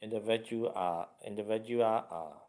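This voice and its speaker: A man guiding a meditation as a teacher, speaking deliberately in short, halting phrases with much repetition. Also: lowish voice, darkish tone.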